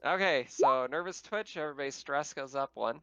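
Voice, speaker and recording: A man speaking as game master, narrating a tabletop roleplaying game in a halting, conversational, descriptive way. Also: mid-range voice, fairly bright tone, a little hiss or hum.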